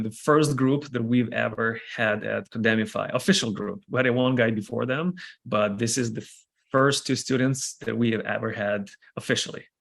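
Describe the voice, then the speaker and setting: A man leads a casual discussion on a video call. His voice sits at a middle pitch. He talks in an upbeat, energetic way. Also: gravelly. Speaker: host, bootcamp founder.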